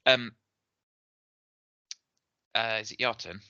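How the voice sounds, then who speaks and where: A man's voice: on the low side, fairly even intonation, slow, bright, forceful tone, dry recording. A man co-hosting a casual whisky podcast, chatty and rambling, laughing easily as he talks. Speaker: podcast co-host. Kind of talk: casual chat. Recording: slightly dull lo-fi sound.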